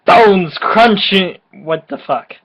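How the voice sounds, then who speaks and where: A woman's voice: mid-range voice, expressive, slow pace. A drunk woman doing a mock-dramatic amateur reading aloud, giggly and stumbling, with sweary casual asides. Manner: unpolished, giggly, stumbles and swears over the text. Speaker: amateur co-reader of a fan story.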